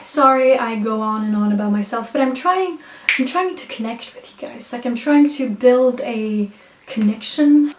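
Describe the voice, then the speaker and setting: A woman's voice: a little high, moderate pace, noisy background. Swedish-accented young woman, hyper and rambling. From Sweden. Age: young adult. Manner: hyper, self-mocking, many fillers and restarts. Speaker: vlogger reading her own poetry. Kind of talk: rambling casual chat and slow poetry reading. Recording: echoey room, voice a bit far from the mic.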